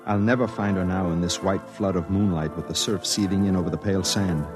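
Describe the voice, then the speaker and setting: Man acting lonely reporter in his thirties, American, deep voice, brooding confession, old radio drama, music underneath. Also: steady tone, a little fast, lightly acted. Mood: intense, haunted. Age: 30s. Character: lonely, sensitive thirty-one-year-old newspaper reporter and secret poet. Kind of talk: 1950s radio drama narration. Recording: vintage radio broadcast with music.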